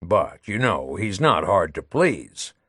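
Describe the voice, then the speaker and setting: A man voicing a smooth young lover who soothes his sweetheart in a soft, reassuring way. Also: low voice, sing-song, takes it slowly, no echo, clean studio sound, full character voice. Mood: gentle.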